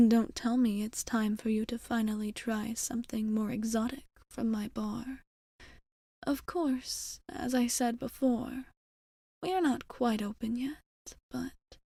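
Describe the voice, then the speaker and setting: Woman playing a slow, whispery, flirtatious vampire bartender. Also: on the high side, no echo, studio quality, exaggerated voice. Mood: teasing, flirtatious. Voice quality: soft, breathy, half-whispered. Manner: formal, unhurried, coy phrasing. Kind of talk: ASMR roleplay, soft-spoken with whispering.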